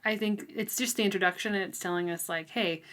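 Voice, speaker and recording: A woman co-hosting a casual book discussion, talking in an informal, thoughtful way with halting phrases. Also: mid-range voice, steady tone, fairly bright tone. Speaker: book podcast co-host.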